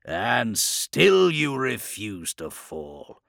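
A man's voice: medium pitch, wildly swooping pitch, drawn-out words, creaky, a bit bright, no echo, studio quality, voice pushed up, exaggerated voice. A man voicing a hulking, gloating plague warlord in an audiobook, with a guttural, bubbling voice and a grand, theatrical delivery. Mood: mocking admiration. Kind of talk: audiobook villain acting.

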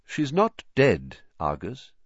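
Man playing a calm, firm old headmaster. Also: lowish voice, unhurried, no room sound, muffled recording. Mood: grave, calm.

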